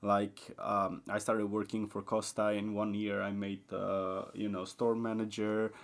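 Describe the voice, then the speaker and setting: A young man in casual podcast chat. He rambles and restarts often, leaning on fillers. His pitch swings widely, bursting up when excited and sinking to a near mumble when he turns thoughtful. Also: measured pace. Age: young adult. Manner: rambling, repeats himself, often says like and uh; swings between excited high pitch and quiet low mumbling. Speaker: podcast guest.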